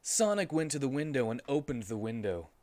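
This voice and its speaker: A man reading amateur fiction aloud as a streamer, in a mock-serious, animated comic style. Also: medium pitch, brisk, no room sound.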